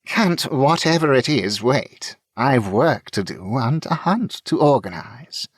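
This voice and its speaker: A man's voice: mid-range voice, lively intonation, takes it slowly, dry recording, clean studio sound, pitched above the performer's own voice. A man voicing a haughty, impatient female mage with an imperious, curt manner in a fantasy audiobook. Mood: irritated, curt. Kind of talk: fantasy audiobook character acting.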